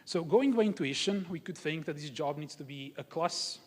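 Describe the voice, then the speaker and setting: A young man from Croatia gives a technical talk at a conference and walks the audience through examples step by step. His voice sits a little high for a man. The sound carries a long hall reverb. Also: animated, normal speed. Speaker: conference speaker.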